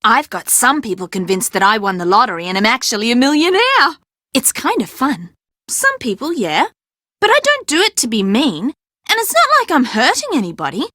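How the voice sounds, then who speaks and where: A woman's voice: on the high side, sing-song, normal speed, sharp tone, dry recording, clean studio sound, heavily acted. A woman playing a chatty, sassy young fashion model who likes to fib, in video game dialogue with a dramatic, emphatic delivery. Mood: playful. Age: young adult.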